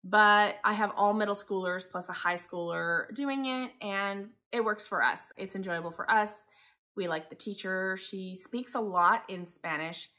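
Bright-voiced woman chatting casually. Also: medium pitch, unhurried. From Taiwan. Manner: rambling, conversational. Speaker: homeschooling mother, vlogger. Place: living room by a window, indoors.

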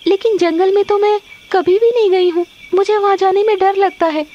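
A woman's voice: extremely high pitch, fairly even intonation, normal speed, dark tone, highs cut off, a little hiss or hum, pitched above the performer's own voice. A woman voicing a timid, pleading young snake-girl daughter in a cartoon story. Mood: timid, unsure. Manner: plaintive, pleading.

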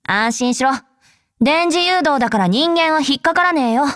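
High, brash tsundere android girl. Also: lively intonation, normal speed, fairly bright tone, no room sound, studio quality. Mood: brusque, confident. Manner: rough, tomboyish, blunt speech. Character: brash, tsundere young female android soldier. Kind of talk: Japanese anime game character voice lines.

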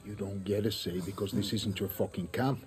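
An actor playing a gruff, commanding middle-aged guerrilla chief in a game cutscene. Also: male voice, lowish voice, fairly even intonation, fairly quick, a little mellow, close to an everyday voice. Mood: angry, scolding. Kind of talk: dramatic video game cutscene acting.

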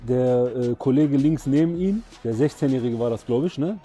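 A man's voice: medium pitch, moderate pace, dark tone, soft background music. A young man on a jury, talking casually and hesitantly with many fillers. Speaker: guest juror. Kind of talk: casual chat.

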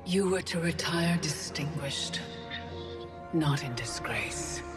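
Slow, stately goddess. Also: female voice, low-pitched. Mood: solemn, beckoning. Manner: slow, deliberate, formal, commanding. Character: serene, grandly solemn female time goddess offering bargains. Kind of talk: video game cutscene acting. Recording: loud music under the voice.

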